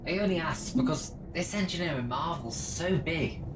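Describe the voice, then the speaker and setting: A woman plays a curious engineer sidekick in an audio drama. Her voice is exceptionally low, casual and teasing. It sounds set back from the mic with clear noise around it. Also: animated, moderate pace, recorded from a distance. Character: curious, teasing young engineer travelling as companion. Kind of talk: scripted audio drama acting.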